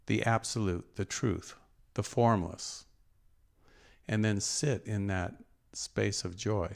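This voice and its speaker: Slow, gravelly host with a spiritual welcome. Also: male voice, medium pitch. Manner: earnest, poetic phrasing. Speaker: workshop host.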